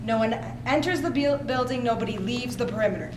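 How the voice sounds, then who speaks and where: A woman's voice: on the high side, quick pace, lightly acted. A woman playing a frustrated, defensive police officer, speaking insistently in a dramatic stage monologue. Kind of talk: dramatic readers theater monologue. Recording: echoey noisy room, far from mic.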